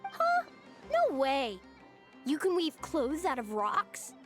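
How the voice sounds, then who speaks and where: A woman's voice: sits high, huge pitch swings, takes it slowly, a bit bright, heavily acted. A woman voicing a spirited, opinionated little village girl in a video game, childlike and emphatic, with music behind her. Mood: surprised, protesting.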